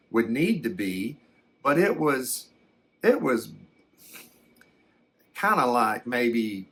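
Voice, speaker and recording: A man voicing a folksy storyteller who recounts a frightening encounter in a halting, rambling ASMR style, often stammering and backtracking. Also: medium pitch, fairly bright tone. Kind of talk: first-person ASMR storytelling.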